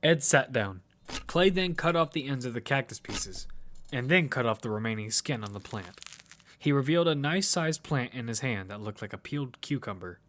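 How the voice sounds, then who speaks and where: A man's voice: medium pitch, expressive, moderate pace, a bit bright, close to an everyday voice. A man narrating an audio fiction survival story in character, as one who tells of a battle. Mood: storytelling.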